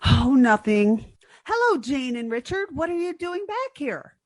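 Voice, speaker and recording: A woman's voice: high voice, big pitch swings, unhurried. A woman playing a naive, scatterbrained young woman with guileless earnestness in a 1940s-style radio comedy. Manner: guileless, dim-witted earnestness.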